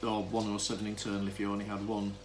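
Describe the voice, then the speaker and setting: A man's voice: lowish voice, monotone, fast. A man gives an informal, unscripted demonstration, explaining things in a hesitant, rambling way and often interrupting himself. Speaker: hobbyist demonstrator of vintage telephone equipment. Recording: clearly noisy amateur camera recording.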